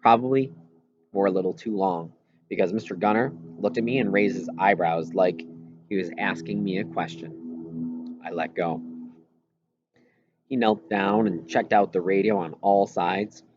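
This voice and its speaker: Male audiobook reader, lowish voice, first-person narration, slightly dull lo-fi recording. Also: fairly even intonation, measured pace, long pauses, background noise. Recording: roomy echo, slightly dull lo-fi sound.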